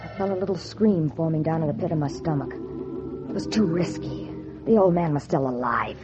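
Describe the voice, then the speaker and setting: An old, dull radio recording with loud music behind a low female voice. An actress plays a young American noir heroine, knowing and slangy, telling her story in wry 1940s radio style. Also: normal speed, close to an everyday voice. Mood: tense, hushed. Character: wisecracking young dance-hall woman posing as an heiress. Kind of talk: 1940s radio acting, noir first-person narration.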